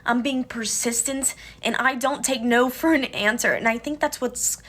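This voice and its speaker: A woman's voice: fairly high pitch, a bit bright. A woman in her twenties, Portuguese native speaker, talking earnestly and emotionally about her life in a personal video. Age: 20s. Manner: earnest, emotional, talks fast. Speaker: recent graduate. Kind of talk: personal social media video.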